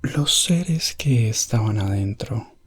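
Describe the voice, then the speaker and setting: Slow, breathy man whispering a fantasy tale. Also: on the low side, expressive. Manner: hushed, drifting between soft voice and whisper. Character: elf prince explorer recounting a medieval adventure. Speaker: ASMR storyteller. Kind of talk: whispered ASMR fantasy roleplay narration.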